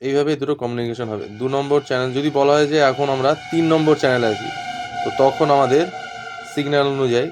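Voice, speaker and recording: A man's voice: medium pitch, fairly even intonation, fast, over music. A man giving an informal hands-on tutorial as an instructor, explaining step by step and repeating himself. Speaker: instructor demonstrating walkie-talkies.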